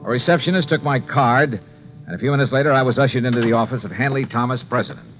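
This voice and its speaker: A man playing a brisk, wry insurance investigator in a 1950s radio drama, speaking in a clipped, breezy way. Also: mid-range voice, faint music behind, natural voice. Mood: wry, businesslike. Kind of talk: 1950s radio drama acting, first-person narration and dialogue. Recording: dull lo-fi 1950s radio recording.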